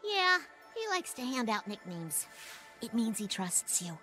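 A woman's voice: fairly high pitch, big pitch swings, a little slow, sharp tone, full character voice. A woman voicing a perky, devoted young hedgehog girl in a video game, eager and girlish. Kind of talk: animated video game cutscene acting. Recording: music under the voice.

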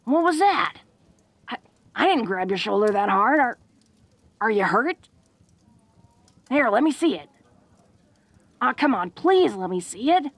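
Woman acting a slow-talking, gravelly, awkward tough orc girl. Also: on the high side, sing-song, no room sound, exaggerated voice. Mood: worried, protective. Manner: folksy, halting tough-girl talk with small chuckles. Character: tough, confident, secretly awkward, affectionate young orc woman. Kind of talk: intimate roleplay audio drama.

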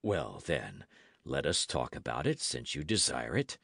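A man voicing a quiet, patient, sly musketeer with priestly leanings in an audiobook, measured and composed. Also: a bass voice, huge pitch swings, creaky, no echo, studio quality, exaggerated voice. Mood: calm, patient.

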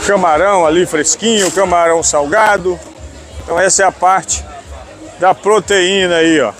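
A man's voice: high voice, steady tone, moderate pace, over music. A man with a Northeastern Brazilian accent, a roving TV reporter doing casual, chatty street interviews at an outdoor market. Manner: informal, chatty, asks short questions. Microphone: small handheld mic. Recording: busy outdoor market crowd noise.

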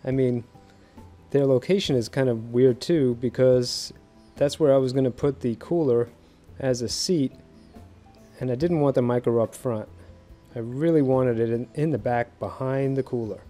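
A man in his thirties casually narrating a how-to project on a docked boat, easygoing and quick to laugh at himself. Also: mid-range voice, warm tone, soft background music. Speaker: fishing video host.

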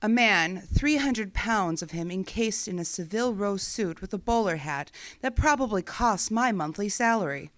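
A wry secretary played by a woman with a medium-pitched voice. She is dry, knowing and familiar, a loyal sidekick in an old-time style radio play. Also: expressive, normal speed, few pauses, a bit bright, dry recording, natural voice. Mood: teasing, wry.

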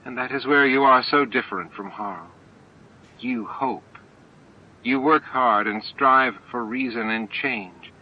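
A man voicing a gentle, supportive female insect-like alien in a dramatized audiobook, speaking with calm reassurance. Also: medium pitch, takes it slowly, voice pushed up. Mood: gentle approval. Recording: dull lo-fi audiobook tape with music.

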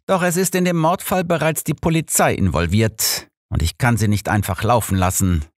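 A man's voice: mid-range voice, normal speed, no echo, studio quality, lightly acted. A man voicing an old master detective in an audiobook, earnest, formal and troubled. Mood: earnest, regretful. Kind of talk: audiobook character reading.

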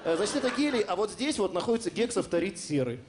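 Fast, high-voiced joking male host. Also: big pitch swings. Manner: mock-serious, joking. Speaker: TV comedy show co-host. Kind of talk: comic explanation and banter on stage. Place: television studio stage with audience. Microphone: handheld microphone. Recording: stage mic with faint studio noise.

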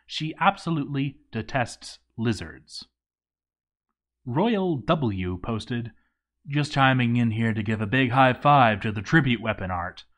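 Man reading game stories with very animated pitch. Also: unhurried. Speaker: let's-read narrator. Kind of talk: expressive scripted reading of a game story.

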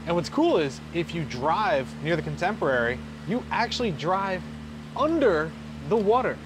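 An easygoing man with a slightly high voice joins in a travel vlog, joking and casually pointing out sights. He is outdoors, with a clear motor hum behind him. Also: sing-song, moderate pace. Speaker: vlog companion and guide. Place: outdoors on a boat on a lake.

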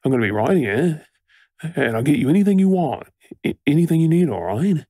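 An Australian man playing a frantic, tender boyfriend fussing over his partner in an intimate audio role-play, stammering with emotion, his voice husky. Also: a little high, sing-song, brisk, warm tone, no echo, clean studio sound. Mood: soothing, tender.

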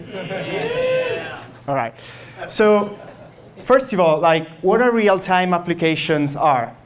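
A young man with an Italian accent, speaking English as a second language, gives a meetup tech talk into a handheld microphone, halting with many fillers and restarts. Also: a little high. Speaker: software engineer giving a meetup talk. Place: meetup room with projector, audience.

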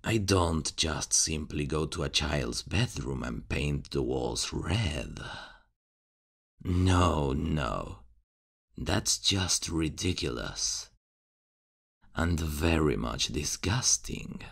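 A man playing a menacing, mocking male serial killer in an ASMR horror roleplay, taunting and chuckling in a hushed voice. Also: extremely low pitch, lively intonation, drawn-out words, creaky, breathy edge, exaggerated voice.

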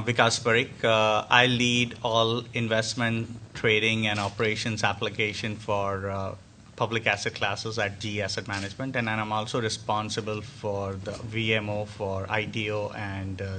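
A man seated at a panel table introduces himself into a table microphone, his voice bright and forceful but held to a flat, narrow pitch. He speaks in a measured way, pausing often on fillers, with faint room noise behind him. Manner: measured, with frequent filler pauses. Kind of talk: self-introduction at a conference panel.

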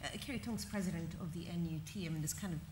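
This is a woman with a deep, low voice who moderates a conference panel. She asks her questions in a measured, courteous manner. The sound is echoey and carries a faint background hiss. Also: a little mellow. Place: conference hall. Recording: echoey hall with faint noise.

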